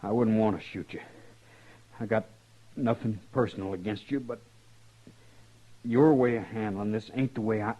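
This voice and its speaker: An actor playing a fatally wounded young outlaw in a radio Western, speaking haltingly in a weak, labored rustic drawl, bitter but resigned. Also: male voice, on the low side, steady tone, natural voice. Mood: strained, wary. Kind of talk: old-time radio Western acting. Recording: muffled, noisy old radio recording.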